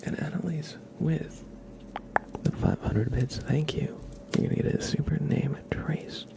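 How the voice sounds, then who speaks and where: Creaky whispering male ASMR streamer. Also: on the high side, steady tone, measured pace. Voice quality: breathy whisper with creaky edges. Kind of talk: whispered ASMR, chatting with live stream viewers.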